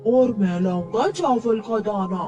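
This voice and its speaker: A woman voicing an eager, friendly little male bird child in a cartoon story, pleading and repeating words excitedly. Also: mid-range voice, a little slow, lo-fi sound, lightly acted. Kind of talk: Hindi cartoon story acting. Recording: distant mic with music behind.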